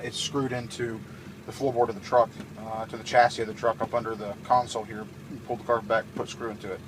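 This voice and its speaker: Clear background noise from a handheld vehicle video surrounds a man with a mid-pitched voice. He talks informally, like a hobbyist showing off his own setup in a casual, hands-on demonstration. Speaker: amateur radio hobbyist presenting his truck installation. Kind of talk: casual walk-through demonstration. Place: outdoors beside a truck, later inside its cab.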